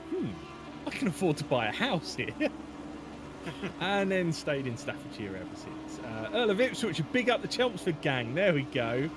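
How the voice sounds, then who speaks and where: A man's voice: mid-range voice, background noise. A man commentating a race in a loose, chatty way. He drifts between the action and viewer messages, filling gaps with ums and little laughs. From England. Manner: chatty, rambling, many fillers, reads chat aloud. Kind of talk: casual live race commentary.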